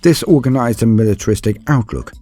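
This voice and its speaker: A man's voice: medium pitch, a little fast, mellow, soft background music. A man giving a theatrical, scripted lore narration in a grandiose storyteller's manner, as the host of the series.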